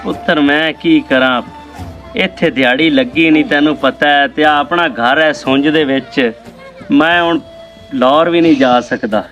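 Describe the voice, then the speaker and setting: A man's voice: a little high, normal speed, a bit bright, lightly acted. A man with a rural Pakistani Punjabi accent acting a poor, proud, worried village father in a weary, rambling way. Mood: helpless, worried. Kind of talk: amateur moral drama acting. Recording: dull lo-fi video with faint music.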